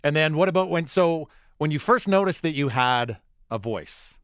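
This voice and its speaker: A podcast co-host asking curious questions in a relaxed casual chat. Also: medium pitch, moderate pace, smooth, dry recording, studio quality.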